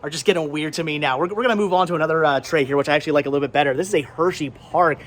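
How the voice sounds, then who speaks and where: A middle-aged American man with a slightly high voice talks off the cuff, rambling and doubling back on his words with nostalgic enthusiasm. It is a casual vlog, with faint ambient noise behind him. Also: racing. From Pennsylvania, United States. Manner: enthusiastic, nostalgic, stammering repeats. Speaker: host of a thrifting vlog. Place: flea market, among vendor stands. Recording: clear background noise, flea market ambience.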